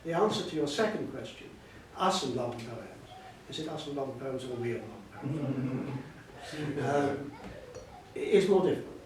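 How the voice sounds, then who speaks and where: A man's voice: mid-range voice, expressive, measured pace. An older man, a scholar being interviewed, explains at length in a discursive, emphatic way, repeating words for stress. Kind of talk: extended spoken explanation at a book event. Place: indoor event hall. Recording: echoey hall, distant mic, faint noise.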